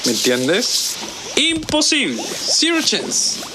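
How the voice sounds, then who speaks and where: A man playing a scheming, smug boss who gives deliberate, insistent instructions in an audio drama. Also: very high-pitched, wildly swooping pitch, background noise, full character voice. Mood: controlling, insistent. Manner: deliberate, insistent, checking he is understood.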